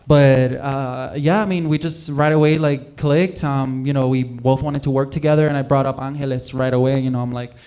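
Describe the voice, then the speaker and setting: A man's voice: mid-range voice, warm tone, smooth. A young man from Puerto Rico, a musical guest talking casually in an interview, rambling with many ums and likes. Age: young adult.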